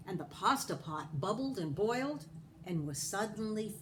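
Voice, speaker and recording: A woman reading a children's story aloud as a storyteller, deliberately and with care for young listeners. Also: mid-range voice, lively intonation, a bit bright, a little hiss or hum.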